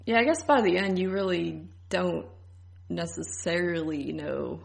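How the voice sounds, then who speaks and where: A woman's voice: fairly low pitch, unhurried, creaky. A woman co-hosting a podcast, giving her opinions in casual film review chat. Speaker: podcast co-host.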